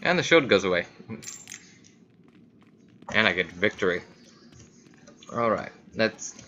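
Low-voiced man commentating on gameplay, noisy recording. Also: very animated, takes it slowly, pushed and bright, lo-fi sound. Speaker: gaming video host. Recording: clearly noisy home recording.

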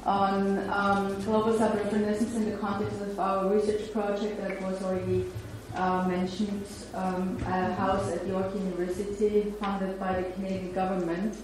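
A woman's voice: medium pitch, flat delivery, normal speed, hardly any pauses. A middle-aged woman whose first language is German, giving an academic lecture in English and reading parts from her paper. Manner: laughs easily and chats with the audience between read passages. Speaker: guest lecturer. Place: bright lecture room with projector screen, indoors. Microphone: far camera, room mic. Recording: echoey room with faint noise.

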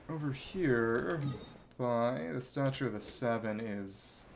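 A man's voice: mid-range voice, noisy background. Man rambling through casual game commentary. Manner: rambling, thinking aloud. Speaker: video game streamer. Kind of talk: casual live gameplay commentary. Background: video game music.

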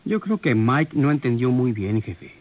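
A man's voice: medium pitch, expressive, measured pace. An actor playing a dutiful, deferential henchman to a crime boss in an old radio drama.

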